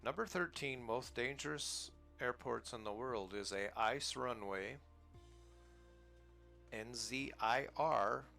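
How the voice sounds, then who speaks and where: A man's voice: mid-range voice, slow pace, gravelly, sharp tone, soft background music. An American man casually commenting on his flight simulator gameplay in a rambling, easygoing way, chuckling at himself now and then. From United States. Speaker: flight sim gaming channel host.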